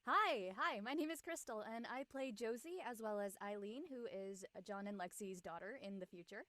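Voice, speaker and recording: A woman's voice: medium pitch, moderate pace, fairly bright tone, dry recording, clean studio sound. A woman talking casually and off the cuff as an actress on a panel, hesitant and stop-and-start. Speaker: actress on the show, as a guest panelist. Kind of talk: casual unscripted discussion.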